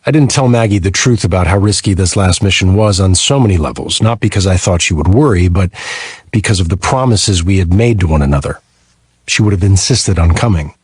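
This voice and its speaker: A man's voice: deep voice, animated, a little fast, slightly rough, no echo. A man narrating a first-person thriller audiobook from a script.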